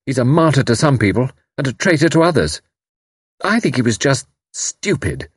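A man's voice: lowish voice, animated, moderate pace, breathy edge, no echo, studio quality. A man voicing a fierce, bitter, impetuous young woman in an audiobook, with intense, emphatic delivery. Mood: resentful. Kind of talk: audiobook character dialogue.

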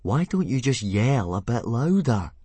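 A man's voice: mid-range voice, very animated, measured pace, warm tone, slightly rough, dry recording, pitched above the performer's own voice. A man voicing a dry, drawling boy who comments on his friend's troubles.

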